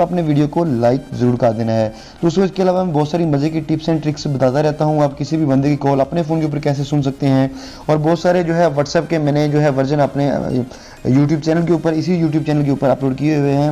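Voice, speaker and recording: Male tutorial host, slightly high pitch, soft mellow tone, instructional, step-by-step delivery to viewers. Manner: repetitive, instructional, addresses viewers as friends. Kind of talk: spoken how-to phone app tutorial.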